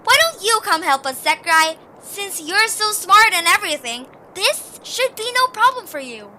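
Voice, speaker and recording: A woman's voice: very high voice, big pitch swings, moderate pace, bright, forceful tone, heavily acted. A woman playing a plucky, slightly sarcastic young adventurer in a fan audio drama. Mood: sassy, confident. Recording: music clearly behind.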